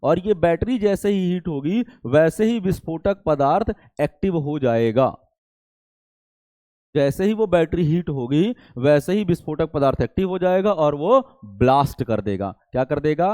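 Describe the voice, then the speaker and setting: A high-voiced man delivers an explanatory lecture, recorded close and dry. He speaks as an exam-prep teacher, didactic and repetitive, checking understanding with rhetorical questions. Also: lively intonation, a little slow, dark tone, dry recording. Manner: didactic, repeats key points and asks rhetorical questions.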